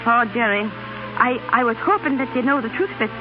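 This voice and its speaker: A woman's voice: on the high side, moderate pace, over music, close to an everyday voice. An actress playing an emotional, frank young woman in a 1930s radio drama. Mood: relieved, tender. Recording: noisy, dull 1930s radio broadcast.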